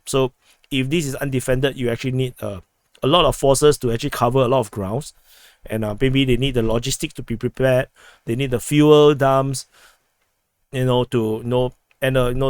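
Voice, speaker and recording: A man with a hoarse, fading voice, giving a halting military situation briefing full of fillers and restarts. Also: mid-range voice, no room sound. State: hoarse, losing his voice. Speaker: war analysis host. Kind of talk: map-based military briefing.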